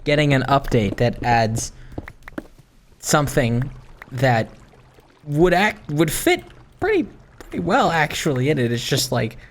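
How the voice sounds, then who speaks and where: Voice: mid-range voice, big pitch swings. Hesitant, rambling gamer over clicky background noise. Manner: hesitant, halting, often trailing off. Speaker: gaming video creator. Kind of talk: casual rambling chat while playing. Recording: clearly noisy, with clicks.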